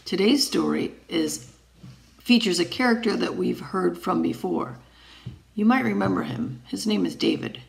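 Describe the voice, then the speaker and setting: A woman in her forties speaking deliberately and simply, as a teacher reading a story aloud to children. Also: fairly low pitch, big pitch swings, gravelly. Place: indoors, wood-panelled room.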